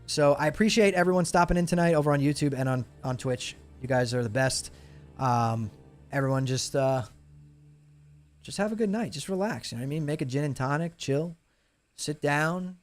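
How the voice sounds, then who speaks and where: A man in young adulthood, chatting casually with his live stream viewers as he loses his voice. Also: fairly high pitch. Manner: laid-back, chatty, talks to chat. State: losing his voice.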